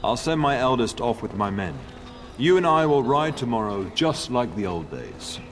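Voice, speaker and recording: A man's voice: medium pitch, big pitch swings, normal speed, close to an everyday voice. An actor playing a jovial older noble lord speaking to his son in a game. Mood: hearty. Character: noble older male lord, hearty father.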